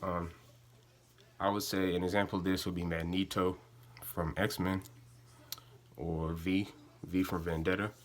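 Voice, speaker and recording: A man's voice: deep voice, level tone, unhurried, long pauses. A young man giving an informative student speech in an informal, hesitant way. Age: young adult. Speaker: student speaker.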